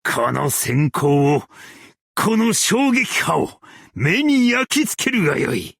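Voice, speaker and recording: A man playing a principled, stern yet merciful scholar-strategist in a game, speaking in a formal, archaic and measured way. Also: a little high, very animated, creaky, fairly bright tone, dry recording, clean studio sound, exaggerated voice. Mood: proud, excited. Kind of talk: Japanese game character voice lines.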